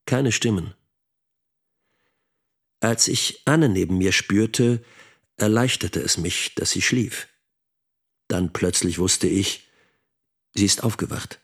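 An actor playing a brooding middle-aged husband in an intimate radio drama, speaking in terse, reflective fragments with a husky voice. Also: male voice, low-pitched, heavily acted.

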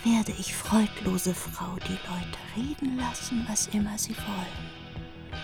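An actress playing a grieving widowed queen who speaks slowly and gravely, often near a whisper. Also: medium pitch. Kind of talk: radio play acting. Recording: heavy music underneath.

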